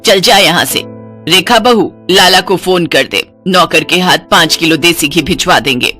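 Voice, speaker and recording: A woman's voice: on the low side, moderate pace. Lady doing a bossy older indian family-drama mother-in-law, scolding and snobby, music underneath. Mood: scolding, angry. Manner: scolding with sharp rhetorical questions.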